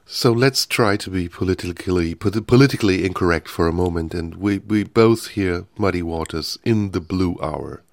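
A German man with a German accent hosting an interview, asking questions in halting, jovial English. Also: low voice, creaky, no echo. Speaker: radio host. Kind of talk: bilingual interview.

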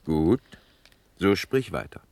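An actor playing a practical, impatient officer, wry and mocking, in a classical verse radio drama. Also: male voice, deep voice, animated, a little mellow, no room sound, heavily acted. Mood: exasperated. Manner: wry, exasperated, deliberate stage delivery.

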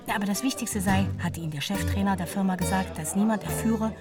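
A woman's voice: medium pitch, fast, close to an everyday voice. Woman acting proud middle-aged mother, formal letter narration, radio play, a little far from the mic, prominent plucked guitar. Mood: proud, earnest. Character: proud, earnest mother reading out her letter to her son. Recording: slightly distant, loud guitar music behind.

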